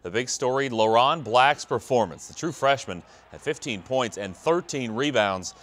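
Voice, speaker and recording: A man's voice: medium pitch, animated, moderate pace, fairly bright tone. An American man reading scripted sports news as a television anchor.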